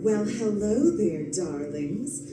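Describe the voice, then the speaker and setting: A woman playing a sultry, teasing cocktail lounge hostess in a theatrical radio ad, flirtatious and drawn-out. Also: medium pitch, lively intonation, reverberant, far from the mic, loud music behind the voice.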